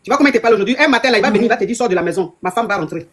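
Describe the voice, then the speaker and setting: A woman's voice: medium pitch, lively intonation, racing. A woman relationship coach, giving emphatic advice that repeats phrases and scolds her listeners. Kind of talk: advice call-in talk.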